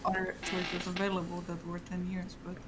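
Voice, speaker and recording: A woman co-hosting a casual chat, speaking in a calm, measured way. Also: fairly low pitch, background noise.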